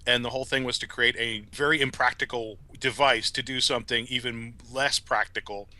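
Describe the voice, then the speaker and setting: A man's voice: mid-range voice, fairly even intonation, a little fast, sharp tone. A man talking in a casual review chat, with a wry, conversational manner. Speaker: podcast guest reviewer.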